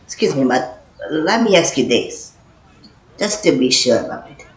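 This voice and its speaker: A man's voice: on the high side, big pitch swings, normal speed, echoey room, poor audio. A man playing a blunt, foul-mouthed squad member in an amateur audio drama, rambling and hesitant. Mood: musing.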